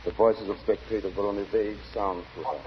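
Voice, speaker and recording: An actor narrating a suspense tale in a grave, intense 1940s radio style. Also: male voice, fairly low pitch, steady tone, quick pace, lightly acted. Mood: grave, suspenseful. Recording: muffled, hissy 1940 radio broadcast.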